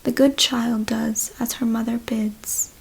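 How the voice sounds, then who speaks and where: A woman reading a story as ASMR in a soft, breathy whisper, hushed and deliberate. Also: fairly high pitch, level tone, low-quality recording. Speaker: ASMR reader.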